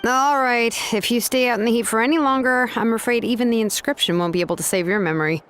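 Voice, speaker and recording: A woman's voice: a little high, fast, fairly bright tone, lightly acted. An actress playing an easygoing, practical young woman in a video game, casual and matter-of-fact. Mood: mildly concerned. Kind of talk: game character dialogue. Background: game music.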